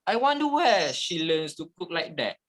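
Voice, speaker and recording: A man narrating a story as a scripted dramatic reading, with theatrical, deliberate emphasis. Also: a little high, big pitch swings, a bit bright.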